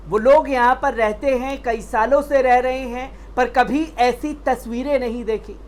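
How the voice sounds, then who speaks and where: High-pitched woman presenting news. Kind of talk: news report narration and commentary.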